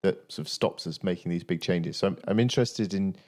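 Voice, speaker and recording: A man hosting a podcast interview, asking questions in a measured, thoughtful way. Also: deep voice, darkish tone.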